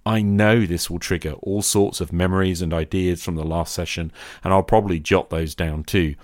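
Deep-voiced man hosting a dry, close podcast. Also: steady tone, moderate pace, darkish tone, no echo. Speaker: podcast host. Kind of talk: solo podcast talk on tabletop roleplaying.

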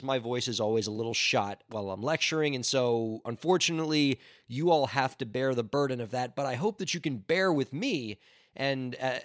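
A man hosting his own podcast in an upbeat, chatty and earnest way, his voice hoarse from laryngitis. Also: mid-range voice, a bit bright, no room sound, clean studio sound. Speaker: podcast host. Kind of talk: casual solo podcast talk.

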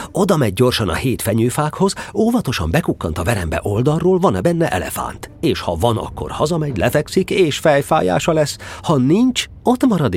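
A man narrating a storybook audio drama with playful, expressive storytelling. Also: lowish voice, breathy edge.

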